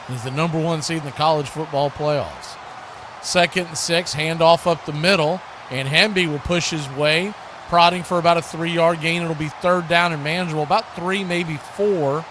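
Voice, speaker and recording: American guy doing live football play-by-play, pretty high voice, clipped calls, noticeable background noise. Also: measured pace. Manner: clipped present-tense calls. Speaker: play-by-play commentator and watch party host.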